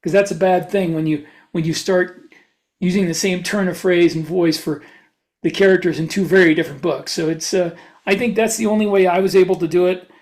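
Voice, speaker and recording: A man's voice: high-pitched, steady tone, fairly quick, darkish tone. A man co-hosting a podcast, talking casually as a writer giving advice. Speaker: podcast co-host, fiction writer. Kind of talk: casual chat.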